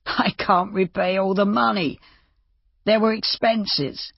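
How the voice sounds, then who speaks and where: A narrator voicing a proud, embittered and desperate gentleman doctor in audiobook dialogue. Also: medium pitch, moderate pace, breathy edge, no room sound, muffled recording. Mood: despairing. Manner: cross, self-important, despairing.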